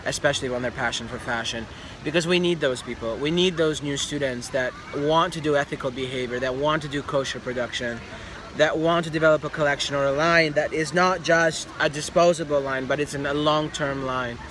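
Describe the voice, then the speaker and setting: Male interview guest from Albania, fashion designer, emphatic and slightly high-voiced, outdoors with clear traffic noise. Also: a little fast. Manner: emphatic, repeats phrases for stress. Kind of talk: casual interview talk. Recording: noisy outdoor street with traffic.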